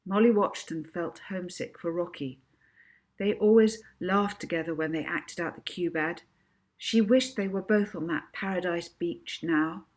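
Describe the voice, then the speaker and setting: A woman reading a children's book aloud as an expressive narrator. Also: on the low side, unhurried. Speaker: author reading own book.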